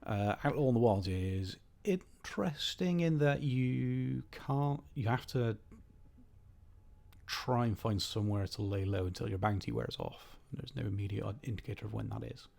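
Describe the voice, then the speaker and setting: A slightly hoarse man giving rambling, wry, opinionated commentary while playing a game. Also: on the low side, animated, normal speed, a little mellow. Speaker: gaming host. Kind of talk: casual gameplay commentary.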